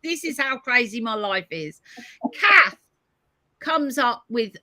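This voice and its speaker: A woman hosting a live-stream conversation over a video call, hoarse today, thoughtful as she reads out viewer comments. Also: fairly high pitch, expressive, slow pace, pushed and bright, no echo, clean studio sound. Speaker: host.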